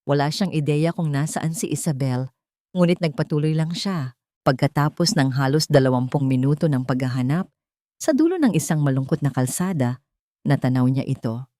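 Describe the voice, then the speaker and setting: A woman's voice: deep voice, expressive, moderate pace, mellow, no room sound, studio quality. A woman reading a scripted love story as a dramatic narrator.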